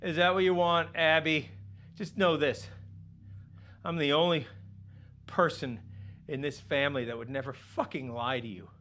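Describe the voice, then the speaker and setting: A man's voice: a little high, steady tone, normal speed, pauses often, sharp tone, a little hiss or hum. A man acting as a desperate, paranoid, foul-mouthed dying uncle in a naturalistic film drama, agitated and pleading, often stammering. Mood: intense, pleading. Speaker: actor in interactive mystery film.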